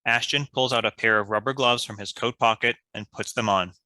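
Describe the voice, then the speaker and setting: A man's voice: mid-range voice, fairly even intonation, a little fast, pushed and bright, dry recording. A man reading stage directions as scripted narration for a screenplay.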